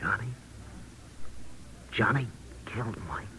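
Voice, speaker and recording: An actor playing an agitated, uneasy man in an old radio crime drama, with excitable, halting speech. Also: on the low side, exaggerated voice. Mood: stunned. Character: agitated, uneasy male friend of a fugitive. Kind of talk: 1940s-50s radio drama acting. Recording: old radio recording, faint noise.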